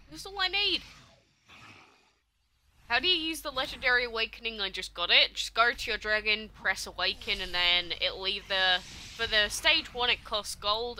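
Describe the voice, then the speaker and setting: A woman's voice: mid-range voice, pushed and bright. A young woman, streaming a game live and chatting casually as she answers viewers' questions. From England. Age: young adult.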